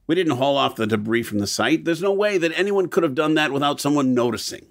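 A man's voice: fairly high pitch, expressive, quick pace, no echo, clean studio sound. A man playing a practical, level-headed planner in an audio drama, speaking matter-of-factly.

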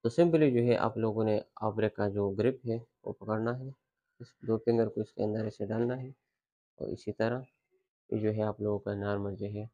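A man's voice: fairly low pitch, no echo. Young man coaching cricket grips, dull audio. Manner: relaxed explaining, heavy use of filler phrases. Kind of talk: casual how-to tutorial. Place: rooftop outdoors.

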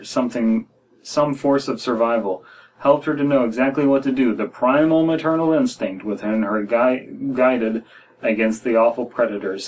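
A man's voice: medium pitch, darkish tone, rough recording. An American man in his thirties reading a horror story aloud as a podcast co-host, with wry, critical asides. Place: small room indoors.

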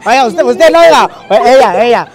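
A man's voice: very high-pitched, lively intonation, normal speed. A man doing a teasing street interview with a handheld mic on a city street. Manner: joking, teasing, laughs often.

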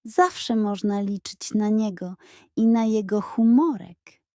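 A woman storyteller reading a scripted children's bedtime story, articulate and expressive. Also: mid-range voice, slow pace, dry recording, studio quality.

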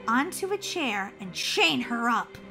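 Woman reading a calm, determined young man. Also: fairly high pitch, music in the background, voice pushed down. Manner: calm, quiet, deliberate.

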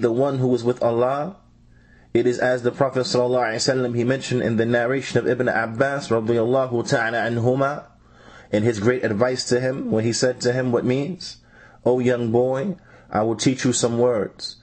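A man reading out a translated religious lecture in a deliberate, phrase-by-phrase manner, restating points as he goes. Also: mid-range voice, steady tone, darkish tone. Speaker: translator reading a scholar's answers. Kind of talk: measured religious lecture translation, read aloud.

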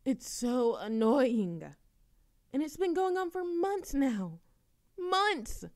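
A woman's voice: sits high, takes it slowly, heavily acted. Woman acting playful, dramatic young best friend. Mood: exasperated, dramatic. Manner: animated complaining, teasing, theatrical exasperation. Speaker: audio roleplay performer. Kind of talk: intimate friend roleplay audio acting, conversational.

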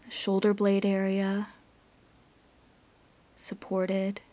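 A woman leading a guided meditation in a soft, breathy voice that often nears a whisper, giving gentle instructions with long pauses. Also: mid-range voice, completely flat delivery. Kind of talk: guided yoga nidra meditation.

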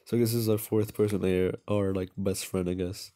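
A man reading a visual novel aloud with casual side comments, halting and stumbling now and then. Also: low-pitched, flat delivery, dark tone, clean tone. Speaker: video game player and narrator.

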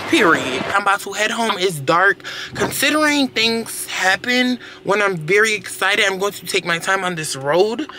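A young woman in her late teens vlogging casually to a handheld camera, excitable and full of slangy exclamations and squeals. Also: mid-range voice, very noisy. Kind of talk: casual vlog chat to camera. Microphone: handheld camera close to face.

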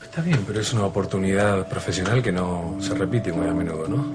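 Low-voiced, confident Argentine surgeon played by a man. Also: fast talker, lightly acted. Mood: eager, persuasive. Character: confident, ambitious surgeon, persuasive. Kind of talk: telenovela acting. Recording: music playing under the dialogue.

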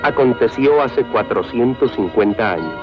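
A man's voice: medium pitch, animated, moderate pace. A man with a Mexican accent giving solemn, scripted film narration. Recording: dull old soundtrack with music.

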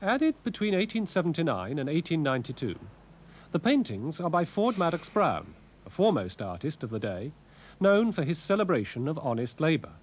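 The sort of husky, fairly high man's voice heard over an old educational film on architecture, measured and learned. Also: big pitch swings. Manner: measured, scholarly, wry asides. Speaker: presenter, art and architecture lecturer. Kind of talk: scripted documentary narration, educational. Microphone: voice-over narration.

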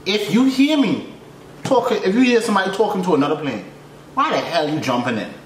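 A young man tells stories in a casual, exasperated rant, repeating himself and using slang. His pitch swings widely as he gets animated. The room adds echo and faint noise behind him. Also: brisk. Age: young adult. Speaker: reaction video host, air traffic controller. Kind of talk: casual reaction commentary with storytelling. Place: small furnished room indoors.

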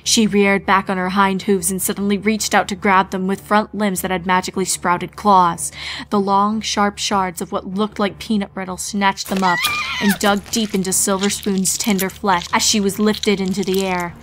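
A woman's voice: medium pitch, level tone, normal speed, fairly bright tone. A woman reading a scripted fan fiction story as its narrator. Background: background music.

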